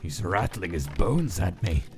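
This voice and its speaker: A man voicing a cold, clinical scientist who dictates experiment notes in a measured, detached, deliberate way for a comic dub. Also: sits low, animated, a little mellow, a little hiss or hum. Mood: wary, clinical. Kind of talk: scripted comic dub, log-style narration. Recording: voice under prominent music.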